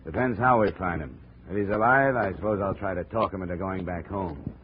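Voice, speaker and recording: Through muffled old radio sound with faint noise comes a man acting as a hard-boiled American investigator. His voice is low and his lines are terse and blunt, in classic radio detective style. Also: a little fast, natural voice. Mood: matter-of-fact. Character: tough, blunt American insurance investigator hero. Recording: muffled, faintly noisy vintage radio recording.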